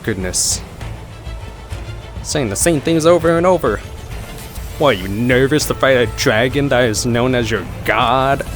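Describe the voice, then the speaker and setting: Guy streamer reacting to a game story, mid pitch, chatty, game music behind. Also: measured pace, long pauses. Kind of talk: casual gameplay reaction commentary.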